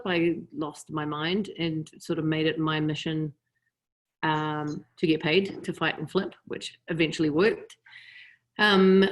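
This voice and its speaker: Woman, New Zealand accent, lower voice, lively casual storyteller. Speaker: stunt performer panelist. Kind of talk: casual career talk.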